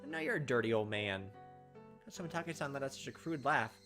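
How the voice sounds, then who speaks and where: A man's voice: mid-range voice, lively intonation, quick pace, music in the background. A young man reading a visual novel aloud as a let's player, with casual, wry commentary.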